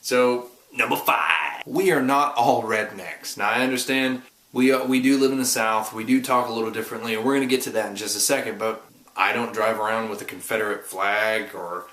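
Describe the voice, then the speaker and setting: A man's voice: fairly low pitch, steady tone, fairly quick, pushed and bright. A man from Oklahoma with an Oklahoma accent, rambling and joking in a casual vlog, recorded on a camera mic. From Oklahoma, USA. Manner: joking, rambling, self-deprecating. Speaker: vlogger. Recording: roomy echo.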